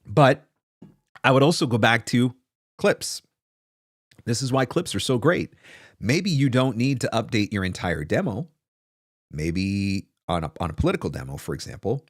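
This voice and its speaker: Low-voiced man giving casual advice. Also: no room sound, clean studio sound. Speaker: host, voice-over marketing coach. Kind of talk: casual livestream advice talk, answering comments. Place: small indoor home studio. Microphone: close desk microphone.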